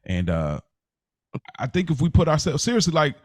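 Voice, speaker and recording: An American man co-hosting a casual podcast discussion, thinking aloud in a halting way and circling back to rephrase. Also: fairly high pitch, sing-song, no echo, clean studio sound. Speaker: podcast co-host.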